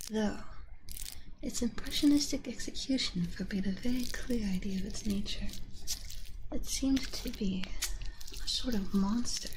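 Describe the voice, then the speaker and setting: A woman streamer reading a story aloud in a soft, unvoiced ASMR whisper on a binaural microphone. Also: mid-range voice, noisy background.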